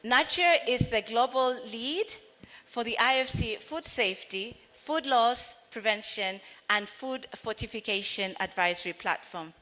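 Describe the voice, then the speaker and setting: A woman's voice: on the high side, measured pace, pushed and bright. A woman hosting a conference session, introducing speakers with some hesitant fillers. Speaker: event host. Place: large conference hall. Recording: hall reverb.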